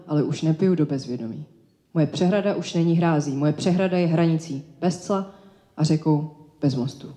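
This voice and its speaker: A woman performing spoken-word poetry live as a slam poet, delivering short lyrical phrases in a measured, declaimed way. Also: sits low, mellow. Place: indoor live event space. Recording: echoey live room.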